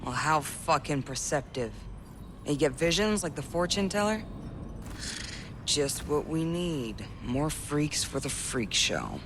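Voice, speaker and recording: A man's voice: a little high, very animated, takes it slowly, background noise, exaggerated voice. A man playing a cocky, foul-mouthed, cynical mercenary in a video game cutscene, with a brash sneering manner. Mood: bitter, sneering.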